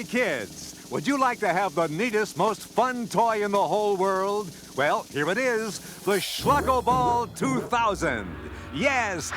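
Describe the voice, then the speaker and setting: A man playing a brash, pushy cartoon TV announcer, delivering exaggerated, hard-selling commercial pitches.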